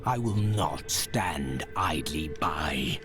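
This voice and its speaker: Very deep, solemn necromancer priest. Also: male voice, lively intonation, full character voice. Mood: grave, stern. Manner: grave, formal, deliberate. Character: solemn male necromancer priest of death, grave and measured. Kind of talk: video game voice acting. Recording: loud music under the voice.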